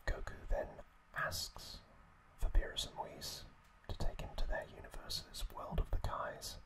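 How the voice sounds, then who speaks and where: A man whispering breathily in a halting, gentle ASMR storytelling recap, close to the microphone. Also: poor audio. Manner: slow, halting phrases with pauses. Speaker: ASMR host.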